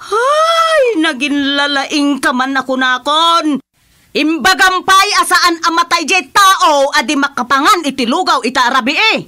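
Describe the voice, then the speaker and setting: A woman's voice: high-pitched, expressive, unhurried, pushed and bright, full character voice. A woman with an Ilocano accent playing a bossy, exclamatory female village captain in a radio comedy drama. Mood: scolding. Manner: exclamatory, scolding.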